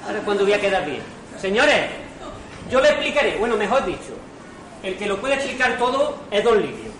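Guy doing a panicky protesting servant in stage farce, talks fast n high, roomy noisy recording. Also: big pitch swings, fairly bright tone. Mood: exasperated. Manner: protesting, colloquial. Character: flustered servant posing as a gentleman, exasperated. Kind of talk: amateur stage farce acting. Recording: distant echoey hall, noisy.

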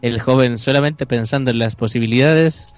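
A man with a Chilean accent narrating an improvised comic radio play in halting, mock-solemn phrases. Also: mid-range voice, muffled recording, faint music behind. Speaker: narrator on a comedy improv show.

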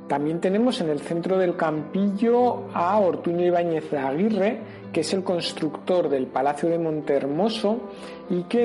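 A man with an accent from Spain presents a radio history segment in a didactic way. His voice sits a little high for a man yet sounds very mellow, with music faintly behind it. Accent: Spanish from Spain. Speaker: local heritage presenter. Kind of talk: explanatory radio talk on history.

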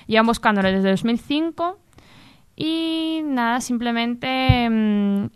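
Clear-voiced woman reading radio news. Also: on the high side, slow. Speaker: new news contributor on a radio show. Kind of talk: reading news amid casual banter.

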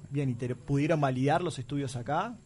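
A man's voice: mid-range voice, fairly quick, darkish tone, slightly rough. A man with a Uruguayan accent hosting a radio interview and leading it with follow-up questions. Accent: Uruguayan Spanish. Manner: chatty, leads with follow-up questions. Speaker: radio host.

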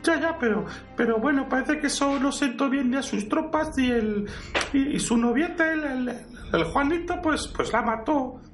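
A roomy, distant recording with music underneath carries a timid priest from a parody audio drama. The voice is thin and high with a Spain Spanish accent. He rambles and stammers apologetically. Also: moderate pace. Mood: hesitant explaining. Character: timid, rambling priest, caretaker brother of a fallen conqueror.

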